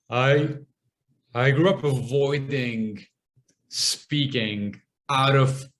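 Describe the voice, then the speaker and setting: Very slow-talking man who stutters. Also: medium pitch. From Lebanon. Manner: stutters, with long pauses and repeated sounds. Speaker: guest speaker and comedian. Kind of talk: podcast interview conversation.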